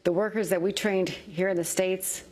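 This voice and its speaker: A woman's voice: medium pitch, steady tone, moderate pace, a little mellow. A woman in her fifties, a factory worker, answering interview questions in a plain-spoken, anxious way, on a lapel mic in a studio. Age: 50s. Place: television studio.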